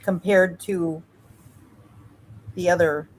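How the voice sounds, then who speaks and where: A woman co-hosting a podcast, reacting in a chatty, animated way. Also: fairly low pitch, slow pace, low-quality recording. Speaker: podcast co-host. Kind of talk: casual chat.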